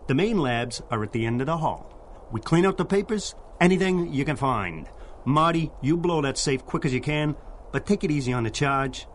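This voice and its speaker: Man as animated, bossy old-time gangster. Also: a little high, moderate pace, a little hiss or hum, close to an everyday voice. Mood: bossy, irritable. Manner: barking, streetwise tough-guy slang. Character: gruff, bossy, short-tempered 1930s gangster foreman. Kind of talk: 1930s-style radio drama acting.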